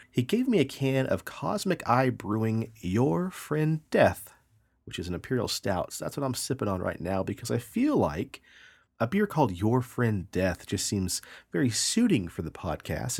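A man in his thirties co-hosting a podcast, a little nasal from a sinus infection, telling animated stories with swearing and laughter. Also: lowish voice, moderate pace, gravelly, slightly rough. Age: 30s. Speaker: podcast co-host. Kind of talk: casual chat.

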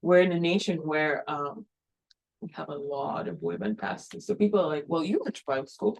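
A woman's voice: deep voice, lively intonation, brisk, darkish tone, low-quality recording. A woman from Kenya, a missionary planting a church, speaking earnestly at a mission event with many ums and you knows.

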